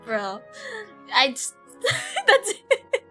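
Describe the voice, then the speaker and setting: Giggly high-voiced woman livestreamer over music. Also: very slow. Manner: giggly, animated, rambling, slangy. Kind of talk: casual livestream chat while playing a game. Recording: game music behind the voice. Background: video game music.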